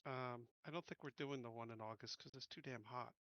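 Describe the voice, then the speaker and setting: A man's voice: medium pitch, brisk, a bit bright, no echo, highs cut off. A man from the United States chatting casually as a game player, blunt and plain-spoken. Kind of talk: casual chat.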